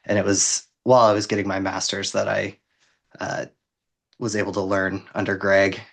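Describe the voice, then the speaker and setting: Wry man in his thirties, low voice. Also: moderate pace, creaky. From Vancouver, Washington, US. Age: 30s. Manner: self-deprecating humor, lively. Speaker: interview guest, city housing worker. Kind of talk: conversational interview.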